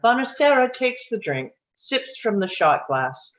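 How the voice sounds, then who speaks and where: A woman's voice: fairly high pitch, sing-song, a little slow. A woman narrator reads out screenplay scene headings and directions. The delivery is scripted narration, and the sound is a little dull and lo-fi. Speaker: narrator reading stage directions.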